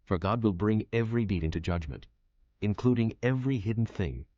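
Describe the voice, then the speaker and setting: A man performing as a grave scripture narrator, speaking in a measured and solemn way. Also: lowish voice, expressive, warm tone, close to an everyday voice. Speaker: audio Bible narrator. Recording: dull, roomy, muffled audio.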